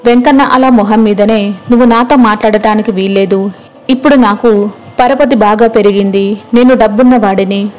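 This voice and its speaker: A woman's voice: medium pitch, a little mellow. A woman narrating a scripted moral story as its storyteller.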